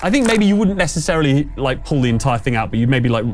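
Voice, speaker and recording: A man's voice: mid-range voice, sing-song, fast. Man game master over background music. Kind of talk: improvised tabletop game narration and casual rules chat.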